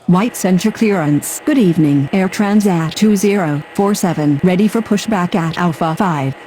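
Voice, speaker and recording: Female air traffic operator for a game, actress: mellow timbre, businesslike radio phrasing, faint noise behind. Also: lowish voice, moderate pace, lightly acted, low-quality recording. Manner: formal, procedural. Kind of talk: clipped, scripted radio phraseology.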